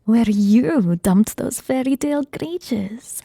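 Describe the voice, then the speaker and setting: A woman voicing a gruff, grumpy male ogre in a put-on mix of Scottish and Russian accents, talking bluntly. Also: a little high, expressive, takes it slowly, warm tone, no echo, studio quality, full character voice. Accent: mixed Scottish and Russian put-on.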